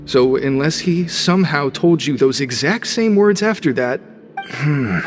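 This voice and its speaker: An actor playing a blunt, loyal young prison gang member in a video game, with a street-tough manner. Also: male voice, a little high, expressive, a little slow. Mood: wary. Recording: long reverb, music behind.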